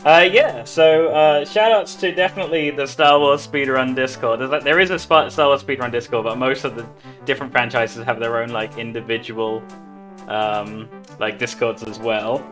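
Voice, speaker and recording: A man's voice: mid-range voice, steady tone, moderate pace, fairly bright tone. Male speedrunner chatting over music. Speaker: speedrunner playing live. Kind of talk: casual live speedrun commentary.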